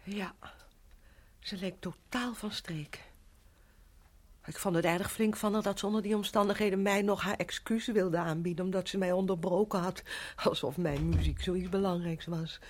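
A woman's voice: fairly low pitch, steady tone, normal speed, close to an everyday voice. A woman playing a composed, sympathetic party guest in a radio drama. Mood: concerned.

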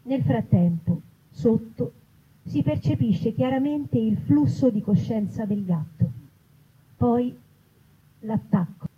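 A woman's voice: fairly high pitch, expressive, takes it slowly, long pauses. A woman, a writer and actress reading comic vignettes from her own book to a live event. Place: live festival stage, outdoors in an olive grove. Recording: dull, noisy live event recording.

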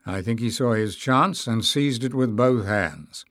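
A man voicing a gruff, shrewd male adventurer in an audiobook, wry and growling. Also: medium pitch, unhurried, a bit bright, no echo, clean studio sound, lightly acted. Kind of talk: acted audiobook dialogue.

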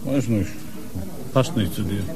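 A man's voice: fairly low pitch, unhurried, warm tone, soft background music, lightly acted. An actor playing an upright, terse male police detective in a radio crime drama, matter-of-fact. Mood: casual.

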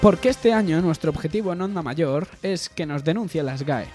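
A man's voice: fairly high pitch, lively intonation, a little fast, darkish tone. A man with an accent from Spain, co-hosting a comedy radio show in casual chat. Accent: Spanish, from Spain. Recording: music bed behind voice. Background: background music.

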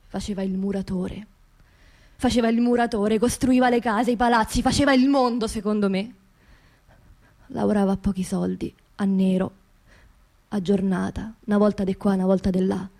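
An actress playing a grieving working-class widow in a radio theatre monologue, with a Roman accent and an intimate, halting delivery that repeats phrases. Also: female voice, mid-range voice, dark tone, natural voice. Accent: central Italian, Roman. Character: grieving working-class widow of a construction labourer, haunted, indignant.

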